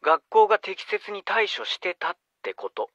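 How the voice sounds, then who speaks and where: A man's voice: high-pitched, sing-song, moderate pace, a bit bright, dry recording, lo-fi sound. A man acting a patronizing, dismissive middle-aged vice principal in a radio drama. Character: condescending middle-aged vice principal, coldly self-serving. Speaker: actor.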